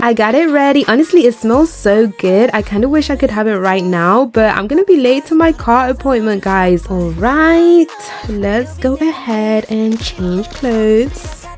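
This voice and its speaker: A woman playing an excitable young student vlogger in a voiced roleplay, chatty and girlish, talking straight to her viewers. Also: on the high side, natural voice. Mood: casual, musing. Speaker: vlogger character. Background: background music.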